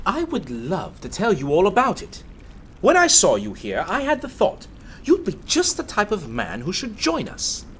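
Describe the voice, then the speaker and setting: Casting: zealous, composed policeman for radio drama; higher male voice, hugely varied intonation. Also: huge pitch swings, normal speed, a bit bright, slight background noise, heavily acted, rough recording. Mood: earnest. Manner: formal, composed, emphatic. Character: earnest, zealous cultured plainclothes police detective. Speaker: actor in a radio play.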